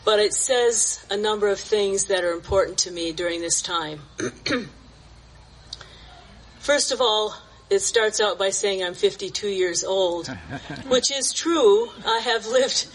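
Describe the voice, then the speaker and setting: Woman, 70s, slow and gentle, reading verse at an outdoor church service. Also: mid-range voice, animated, rough recording. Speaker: church member sharing reflection. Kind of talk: reflective sharing and poem reading.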